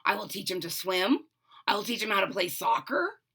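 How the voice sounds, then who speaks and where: A woman's voice: mid-range voice, very animated, normal speed, pushed and bright, husky, exaggerated voice. A woman reading a storybook as an eager, impatient, whiny young boy frog.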